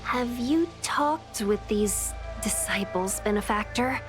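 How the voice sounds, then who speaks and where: A woman playing a solemn, judgmental figure of an immortal people in a video game, measured, formal and ominous. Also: fairly high pitch, animated. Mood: cool, judgmental. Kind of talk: video game character acting. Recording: game music clear behind the voice.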